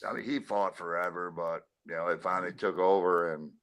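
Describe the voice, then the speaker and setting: A man in his forties hosting a podcast, loud and brotherly, swearing freely in casual chat. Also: low voice, steady tone, fairly quick, a little hiss or hum. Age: 40s.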